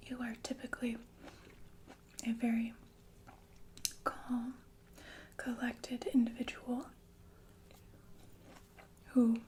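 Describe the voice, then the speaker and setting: A woman playing a sinister, falsely soothing killer nurse in an ASMR roleplay, speaking in a genteel, deliberate breathy whisper with murmured asides. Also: on the high side, monotone, warm tone, slight background noise. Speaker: ASMR performer.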